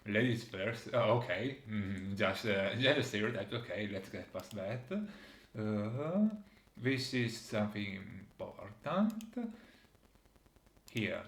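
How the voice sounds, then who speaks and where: Low-voiced man in an echoey room. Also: animated, slow, fairly bright tone. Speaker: host presenting a game critique. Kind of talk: video game commentary, reading dialogue aloud.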